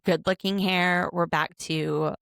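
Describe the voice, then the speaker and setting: A woman in her thirties hosting a casual podcast from home, chatty and quick to laugh as she picks apart a reality show. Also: on the low side, steady tone, gravelly, fairly bright tone, dry recording. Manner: chatty, laughs often, overanalyzing. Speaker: podcast host, attorney.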